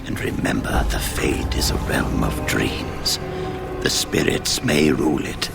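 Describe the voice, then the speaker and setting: Casting: elderly wizard mentor for a fantasy game, grave and fatherly, extremely low creaky voice, slow pace, music underneath. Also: full character voice. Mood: hushed counsel. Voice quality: gravelly, breathy at times. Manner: slow, solemn delivery. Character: grave, aged mage mentor, calm and fatherly. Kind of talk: fantasy video game voice acting. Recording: music behind the voice.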